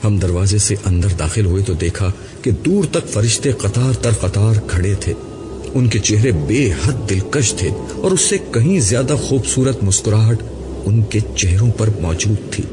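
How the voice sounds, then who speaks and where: A man reading a novel aloud as scripted audiobook narration. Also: on the low side. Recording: clearly noisy recording.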